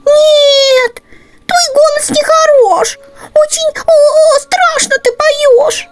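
A woman voicing a silly, sleepy baby mouse in a storybook, fussy and squeaky as it complains. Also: extremely slow, soft background music, heavily acted. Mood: whiny, fussy.

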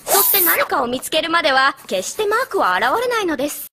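A woman's voice: extremely high pitch, sing-song, fast, pushed and bright, full character voice. A woman voicing a kindly, earnest schoolteacher pony in a dubbed cartoon, explaining politely as if to a class. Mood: gentle, instructive.

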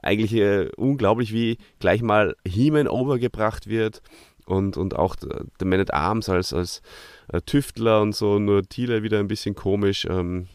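Austrian man in casual review chat, lowish voice. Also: dry recording, clean studio sound. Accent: Austrian German. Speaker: podcast host.

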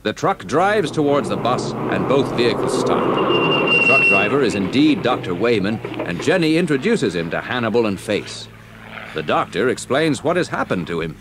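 A man's voice: mid-range voice, big pitch swings, normal speed, heavy background noise. A man narrating a scripted children's adventure story as its storyteller. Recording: old story cassette, music underneath. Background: music.

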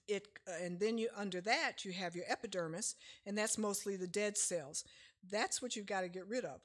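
A woman's voice: on the low side, fairly bright tone. A middle-aged woman giving a casual health seminar at a church lectern, explaining in a chatty way with frequent fillers, picked up from a distance. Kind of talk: casual lecture with slides. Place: church sanctuary, speaking at a lectern.

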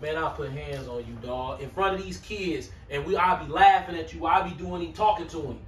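A man serving as a church elder, talking loud and brash in a heated group talk and laughing often. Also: on the high side, brisk, slight background noise. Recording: roomy, mic far off.

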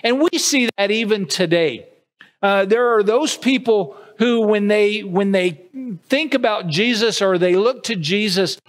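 A middle-aged man preaching a sermon from a church podium, earnest and gesturing widely, often restarting his sentences. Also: sits high. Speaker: pastor. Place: church sanctuary with empty pews. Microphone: podium mic at a distance, far camera.

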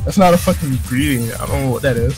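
A man's voice: mid-range voice, fast talker, darkish tone, soft background music. A young man in casual, sweary gameplay commentary, reading a visual novel aloud with friends. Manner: sweary, slangy.

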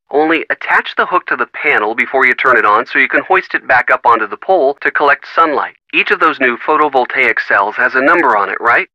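A man's voice: mid-range voice, bright, forceful tone, no echo, rough recording. An actor voicing a helpful, wry young man giving hints in a video game.

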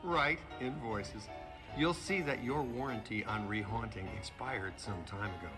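An actor playing a sardonic, flirtatious male ghost hunter in a comic video game, deadpan and wisecracking. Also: medium pitch, measured pace, lightly acted. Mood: dry, official. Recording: loud music behind the voice.

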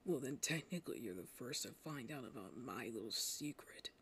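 A woman voicing an arrogant, teasing male anime vampire in a low, breathy voice, in a roleplay audio drama spoken to the listener. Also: lively intonation, a bit bright, slight background noise, exaggerated voice. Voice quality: low, breathy, often whispering. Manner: slow, smug, teasing.

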